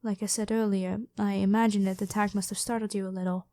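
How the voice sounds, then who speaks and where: A woman's voice: medium pitch, little pitch movement, quick pace, warm tone, no echo, close to an everyday voice. A woman voicing a devoted, protective royal knight, head of the guard, with formal, deferential speech in a scripted ASMR roleplay. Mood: gently worried, pleading. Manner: formal, deferential address to a monarch.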